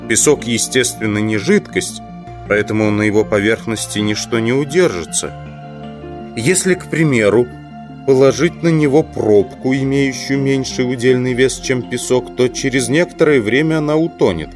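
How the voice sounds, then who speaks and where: Audio drama casting: male actor as pensive, speculative entomologist, reflective delivery, mid-pitched, music bed. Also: moderate pace, natural voice. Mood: musing. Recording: music behind the voice.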